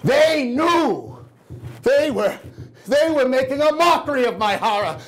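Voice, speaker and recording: A man in his sixties playing a guilt-ridden, mad murderer in a theatrical gothic horror reading, halting and repeating words, agitated and declamatory. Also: extremely high pitch, animated, pitched above the performer's own voice, exaggerated voice. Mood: frenzied, raving. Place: small indoor room in an old house, live audience.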